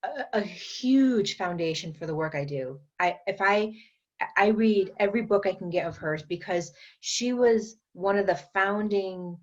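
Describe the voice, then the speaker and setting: A woman guest on a podcast interview, explaining her energy work in an unhurried way, with many fillers and restarts. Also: medium pitch, lively intonation, muffled recording. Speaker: guest, metaphysician and energy trainer.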